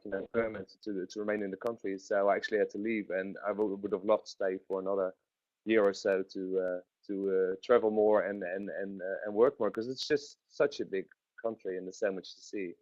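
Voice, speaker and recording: A man's voice: lowish voice, flat delivery, a little fast, a little mellow, dry recording, dull audio. A man, a webinar guest recalling travel with lots of fillers and restarts. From Netherlands. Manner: rambling, many fillers and restarts. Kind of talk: webinar interview.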